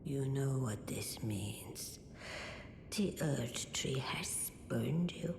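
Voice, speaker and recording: An actress playing an ancient, grave and cryptic oracle crone in a fantasy game, with a breathy aged voice and a portentous, musing delivery. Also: female voice, heavily acted. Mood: grave, resigned. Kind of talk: theatrical fantasy game acting. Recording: echoey hall, loud rumbling background noise.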